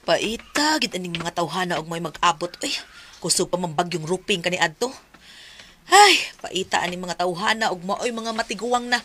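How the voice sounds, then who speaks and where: A woman's voice: on the low side, expressive, moderate pace, noisy background, lightly acted. A woman with a Cebuano Filipino accent playing an exasperated bystander who grumbles at drinkers in a comedy skit. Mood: grumbling. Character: exasperated scolding bystander serving drinking snacks.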